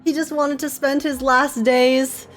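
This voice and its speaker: A woman's voice: high-pitched, little pitch movement, measured pace, faint music behind. A woman streamer giving casual live reaction commentary in an informal, chatty, slangy way.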